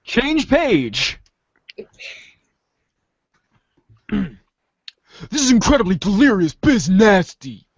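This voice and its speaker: Animated, high-voiced man reading aloud, unhurried. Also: breathy edge, no room sound, dull audio. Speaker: podcast host reading a webcomic aloud. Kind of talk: informal group read-aloud of a comic.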